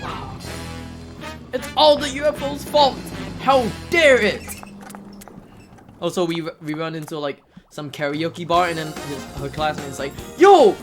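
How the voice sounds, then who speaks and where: Someone doing casual speedrun commentary while playing, excitable and prone to playful exclamations and acted-out reactions. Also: medium pitch, unhurried, soft background music.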